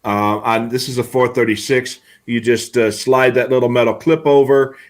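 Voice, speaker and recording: A man's voice: medium pitch, fairly even intonation, moderate pace. A man co-hosting a live hobby show, explaining products in a casual, conversational way. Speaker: co-host of a live radio scanner show.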